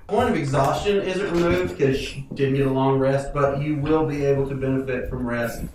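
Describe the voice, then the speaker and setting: A man's voice: medium pitch, moderate pace, a little mellow. A man narrating as game master, easygoing and chuckling, often restarting his phrases. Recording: echoey room, far from mic, background noise.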